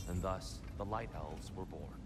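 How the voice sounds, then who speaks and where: An actor playing a calm, solemn and learned guide in a video game, speaking in a measured, explanatory way. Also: male voice, low-pitched. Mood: calm, instructive. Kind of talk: video game character dialogue. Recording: game music behind.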